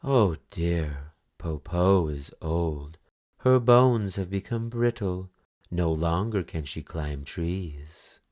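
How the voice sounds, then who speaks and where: A man voicing a sly old wolf who poses as a grandmother, with a slow, wheedling, drawn-out delivery. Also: low voice, animated, a little mellow, no echo, studio quality. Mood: feigned weariness.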